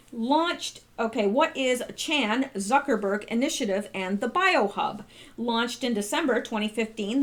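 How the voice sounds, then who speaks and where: A woman hosting her channel, reading out posts and commenting in a casual, halting way full of rhetorical questions. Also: a little high. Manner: conversational, many fillers and restarts, rhetorical questions. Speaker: channel host.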